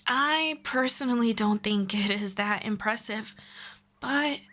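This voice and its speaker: A woman voicing a patient, gently formal female elf friend in an ASMR roleplay, speaking softly and politely. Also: on the high side. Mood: calm, patient. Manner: soft, unhurried, politely formal.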